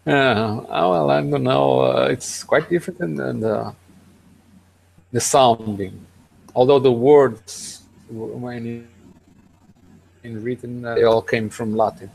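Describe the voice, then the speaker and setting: A man co-hosting a casual tech podcast over an online call, speaking in a hesitant, halting way. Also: mid-range voice, fairly even intonation, gravelly, darkish tone, rough recording. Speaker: podcast co-host. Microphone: online video hangout.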